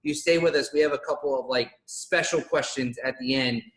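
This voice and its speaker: A man's voice: mid-range voice, fairly quick, a bit bright. A young man in his twenties co-hosts an online interview, talking with lots of energy and hyping up his guest. His voice rings with noticeable room echo. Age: 20s. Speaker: co-host interviewer. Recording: roomy echo.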